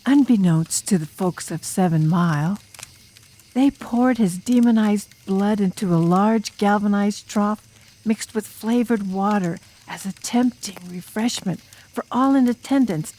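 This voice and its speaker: Casting: woman as enigmatic drum major spinning horror tales by the fire; unhurried, breath-roughened, menacing, dramatic audiobook delivery. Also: mid-range voice, warm tone, close to an everyday voice, poor audio. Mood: grave, menacing. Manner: ominous, drawn-out, dramatic, sometimes dropping to a whisper. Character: enigmatic drum major student telling a campfire demon horror tale. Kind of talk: audiobook ghost story narration in character.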